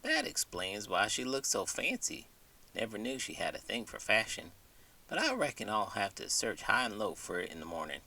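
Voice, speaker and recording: A good-natured cowboy acted out by a man drawls in a folksy American western accent. His pitch swings widely and the tone is bright and a little rough. He sounds puzzled by the world, as in a bedtime story skit. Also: huge pitch swings, moderate pace, far from the mic, heavily acted. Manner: folksy, colorful cowboy idioms. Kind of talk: scripted comedic bedtime interlude.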